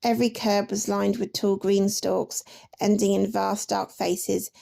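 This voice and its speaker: A woman reading literary excerpts aloud as a narrator, in a measured, lyrical way. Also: mid-range voice, flat delivery, gravelly, mellow. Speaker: reader of book excerpts.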